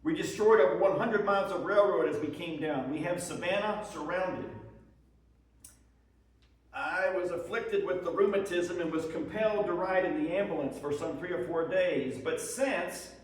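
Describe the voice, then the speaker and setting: A man giving a dramatic reading as a proud, weary Civil War soldier, in measured short phrases, writing letters home. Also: sits high, lightly acted. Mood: reporting, wry. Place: echoey room at a live presentation. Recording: echoey hall, far from the microphone.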